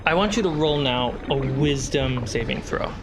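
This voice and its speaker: A man narrating a tabletop fantasy game as game master, in deliberate, suspenseful short phrases. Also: mid-range voice, noisy background. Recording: music behind the voice.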